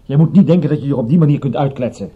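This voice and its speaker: An actor playing a wary, exasperated police inspector in a radio drama, official and chiding, on a dull lo-fi recording. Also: male voice, medium pitch, very fast, husky, lightly acted. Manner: matter-of-fact, official, chiding.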